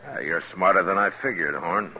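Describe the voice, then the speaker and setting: A man's voice: sits low, normal speed, pushed and bright, lo-fi sound. An actor playing a terse, dry, authoritative frontier lawman in a 1950s western radio drama. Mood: firm, dry. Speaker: lead actor and narrator. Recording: old 1950s radio recording, background murmur.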